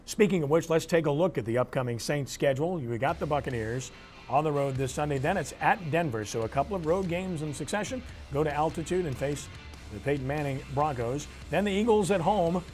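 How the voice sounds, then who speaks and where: A man with a somewhat high-pitched voice presents a sports program. His phrasing is punchy and clipped, like an announcer reading scores and promos. Music plays behind him. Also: expressive, brisk, a little mellow. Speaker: sports talk show host. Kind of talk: sports show commentary and read promos.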